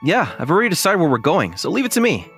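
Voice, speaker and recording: Casting: a man plays a shy young manga-dub lead, lively swings in pitch, earnest and easily flustered, music underneath. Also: quick pace, heavily acted. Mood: considerate. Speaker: voice actor and narrator in a manga dub. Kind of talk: acted dialogue and first-person narration.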